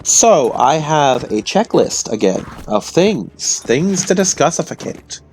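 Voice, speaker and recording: A man with a hoarse voice from illness, telling rambling stories casually to his audience. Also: on the high side, sing-song, slow, a little mellow, a little hiss or hum. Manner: meandering, self-interrupting, chatty. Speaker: vlogger.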